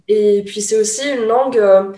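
A woman's voice: mid-range voice, moderate pace, mellow, roomy sound. A young woman from Taiwan, a guest in a casual chat, speaking thoughtfully with many hesitations. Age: young adult.

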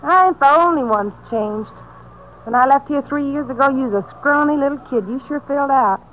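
A woman's voice: high-pitched, brisk, fairly bright tone. An actress playing a brash, bossy young woman bandit from rural Texas in a radio drama, with a Southern American accent and slangy, tough talk. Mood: brash, teasing. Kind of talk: 1950s radio drama acting. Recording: noisy, muffled old-time radio recording.

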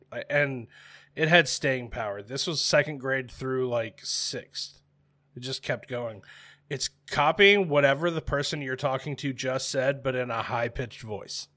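A man's voice: medium pitch, sharp tone. A man in his thirties co-hosting a podcast, chatting casually in a laid-back, self-mocking way and laughing often. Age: 30s. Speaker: podcast co-host. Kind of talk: casual chat.

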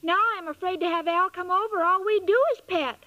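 Ditzy sweet young woman, very high bright voice, earnest, vintage radio comedy, muffled old recording. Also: a little fast. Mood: worried, naive. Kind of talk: 1940s radio comedy acting.

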